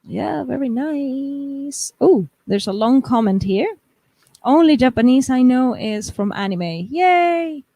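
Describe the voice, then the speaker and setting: A young woman from the United States talks slowly and unhurriedly on a live stream, her voice sitting a little high. She reads out viewer comments and chats about them, often laughing and restarting her sentences. The sound is dry and close, with no room echo. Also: animated, dark tone. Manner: chatty, laughs often, trails off and restarts while reading chat. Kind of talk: casual chat reading viewer comments.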